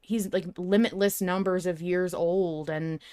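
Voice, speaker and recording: A woman's voice: fairly low pitch, steady tone, a little slow, dark tone, clean tone, no echo, studio quality. A woman co-hosting a podcast, chatting casually in an emphatic, opinionated, sweary way. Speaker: podcast co-host. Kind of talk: casual fan discussion chat.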